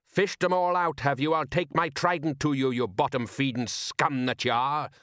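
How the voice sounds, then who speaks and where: A man voicing a gruff, hot-tempered middle-aged fisherman father in an audiobook, blustering and snapping in rustic speech. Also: medium pitch, a little slow, a bit bright, no room sound, clean studio sound. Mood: angry, blustering.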